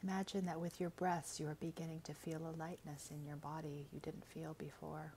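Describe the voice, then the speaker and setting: A woman reading a scripted guided meditation in a gentle, soothing, measured way, with soft, breathy phrase endings. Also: sits low, flat delivery, slight background noise.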